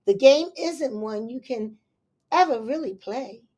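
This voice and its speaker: A woman teacher reads a picture book aloud to young children at a slow, careful pace. Her voice sits a little high, and she lingers over the words with expressive storytime phrasing. Now and then she drops into soft asides to her listeners. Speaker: elementary school teacher.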